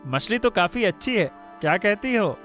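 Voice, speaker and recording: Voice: mid-range voice, lively intonation, moderate pace, natural voice. Someone voicing a taunting rich young man. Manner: taunting, sarcastic. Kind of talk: cartoon story dialogue. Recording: soft background music.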